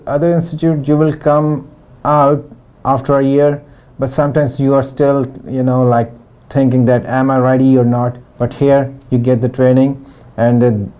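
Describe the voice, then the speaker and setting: A middle-aged man from Pakistan giving a testimonial interview about his training, talking in a halting way with frequent restarts. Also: mid-range voice, warm tone. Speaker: former student giving a testimonial.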